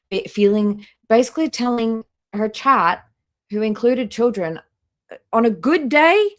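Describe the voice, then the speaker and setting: A woman live streamer giving casual commentary and reading out chat, with a disapproving, dryly sarcastic manner. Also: mid-range voice.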